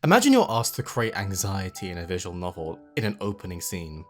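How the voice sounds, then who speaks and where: Male video essay host, low register, very animated pitch movement, explanatory and emphatic, music bed underneath. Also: a little fast. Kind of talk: scripted narration with casual asides. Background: background music.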